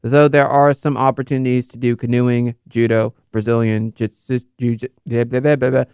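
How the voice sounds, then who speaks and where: A man's voice: mid-range voice, flat delivery, moderate pace, darkish tone, smooth, no room sound, studio quality. A young man in casual, unscripted commentary, rambling and correcting himself with many fillers. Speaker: football video host.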